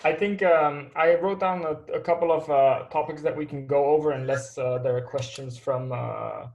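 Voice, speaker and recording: A man's voice: a little high, normal speed, gravelly, a little mellow, lo-fi sound. A man hosting a webinar on a video call, loose and full of fillers. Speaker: webinar host.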